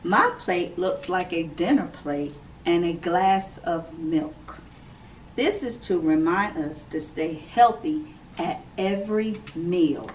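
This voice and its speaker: A woman in her thirties, a preschool teacher, reading a picture book aloud to young children in a slow, clear, sing-song storytime manner from her classroom. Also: on the low side, expressive. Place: classroom indoors. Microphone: camera a few feet away. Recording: slightly distant camera mic with faint room noise.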